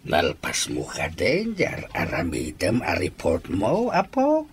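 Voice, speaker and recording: A man's voice: mid-range voice, huge pitch swings, takes it slowly, slight background noise. An actor playing a stern old grandfather in an Ilocano radio drama, with an Ilocano accent. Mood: probing. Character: stern old grandfather questioning his young helper.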